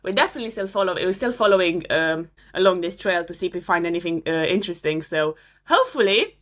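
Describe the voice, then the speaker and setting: A woman's voice: on the low side, expressive, fast talker. A young woman from Romania, an astrophysicist, answering interview questions casually with many fillers and restarts. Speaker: astrophysicist guest.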